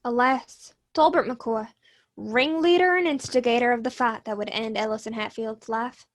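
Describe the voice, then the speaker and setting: A woman playing a solemn radio drama storyteller, with a measured, theatrical delivery and music behind her. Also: on the high side, close to an everyday voice. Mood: solemn, dramatic. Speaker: narrator.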